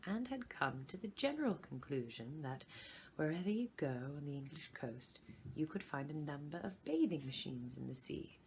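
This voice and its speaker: Animated woman reading a storybook. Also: deep voice, creaky, slight background noise, rough recording. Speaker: volunteer story reader. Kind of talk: expressive storybook narration.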